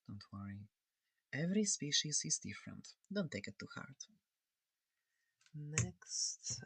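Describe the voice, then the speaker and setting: Slow, breathy ASMR alien examiner with creaky voice. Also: mid-range voice, fairly bright tone, no echo. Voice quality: breathy, often dropping to a whisper. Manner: slow, halting, clinical yet reassuring. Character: curious, gentle alien examiner studying a human. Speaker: ASMR performer. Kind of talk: soft-spoken ASMR roleplay. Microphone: close, dry microphone.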